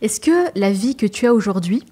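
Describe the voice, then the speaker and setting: A woman hosting a podcast interview, with a mellow, warm voice at a middle pitch. She asks calm, measured questions and answers her guest with short murmurs of agreement. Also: slightly rough. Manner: calm, measured questions with brief back-channel replies. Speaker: podcast host.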